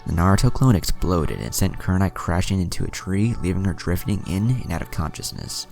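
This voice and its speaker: A man's voice: low voice, big pitch swings, a little fast, gravelly, darkish tone, soft background music. A man reading a scripted fan fiction story aloud, narration and dialogue alike.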